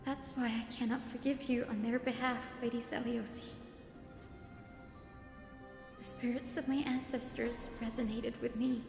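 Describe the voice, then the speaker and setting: A gentle young healer from a story-driven game, high-voiced and earnest. She speaks softly and politely with firm resolve. Her voice rings in a reverberant hall, with faint music behind. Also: vocal fry. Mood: solemn, resolute. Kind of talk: dramatic game story acting.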